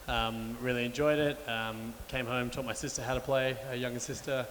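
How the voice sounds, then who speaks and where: A man's voice: medium pitch, normal speed. Man speaking in a reverberant hall. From Australia. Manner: relaxed, many "um" fillers. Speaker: youth coach. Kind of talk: panel discussion. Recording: reverberant hall with faint noise.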